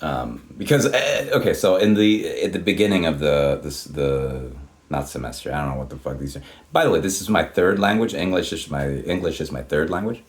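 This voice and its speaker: Very deep-voiced man rambling. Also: lively intonation, fairly quick. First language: Swedish. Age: 30s. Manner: rambling, many restarts and swearing. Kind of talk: casual storytelling.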